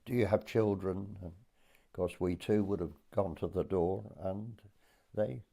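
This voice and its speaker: An Englishman in his eighties from Dorset, recalling his childhood for an oral history interview in a hesitant storytelling way with pauses. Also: low-pitched, fairly even intonation, gravelly, dark tone. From Dorset, England. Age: 80s.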